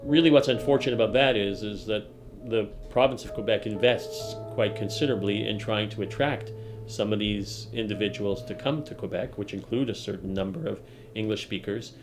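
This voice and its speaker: Male expert interviewee, somewhat low voice, formal and wordy, explaining analytically over faint music. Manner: formal, wordy, academic phrasing. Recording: music playing behind the voice.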